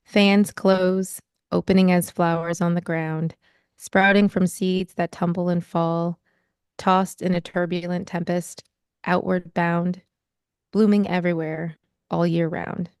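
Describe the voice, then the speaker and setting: Young American woman, author; smooth, lowish voice, measured and reflective delivery. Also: little pitch movement, darkish tone, no echo, studio quality. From United States. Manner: measured, thoughtful, rhythmic reading. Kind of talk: poetry reading and interview.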